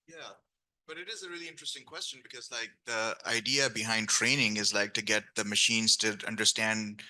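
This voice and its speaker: A man's voice: fairly low pitch, fairly even intonation, a little fast, bright, forceful tone, poor audio. A man speaking on a panel, thinking aloud with many restarts and fillers. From grew up speaking Urdu. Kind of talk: panel discussion.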